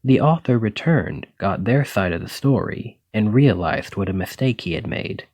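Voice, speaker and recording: Male actor as dragon-blooded librarian boyfriend, lowish pitch, earnest, reassuring, bookish, gentle, ASMR roleplay. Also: expressive, normal speed. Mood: earnest, explanatory. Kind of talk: ASMR roleplay, speaking softly to a partner.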